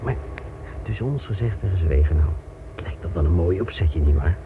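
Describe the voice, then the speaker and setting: An actor playing a brash, jovial American crook in a radio drama, breezy, familiar and talkative. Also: male voice, deep voice, lively intonation, a little fast. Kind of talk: Dutch radio drama acting. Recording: muffled, noisy old radio play tape.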